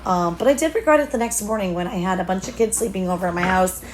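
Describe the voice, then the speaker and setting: A woman in her thirties with a hoarse voice, chatting casually and upbeat through a vlog voiceover. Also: mid-range voice, fast, slight background noise. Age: 30s. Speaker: lifestyle vlogger.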